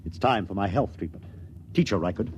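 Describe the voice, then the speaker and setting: An actor playing a cold, commanding superior aboard a ship in a 1950s radio drama, curt and authoritative. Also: male voice, low-pitched, animated, fairly quick. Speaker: character in a 1950s radio play. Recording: hissy vintage radio broadcast.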